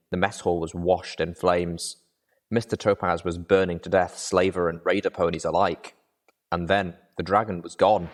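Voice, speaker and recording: Male audiobook reader, low-pitched, measured, expressive delivery of dramatic first-person fiction.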